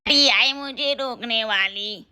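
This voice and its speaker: A high, piercingly bright cartoon crow husband, as a woman puts him on, drunk, lazy and harsh. He barks orders and threatens his wife in a shrill, demanding tone. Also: takes it slowly, heavily acted. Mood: bossy.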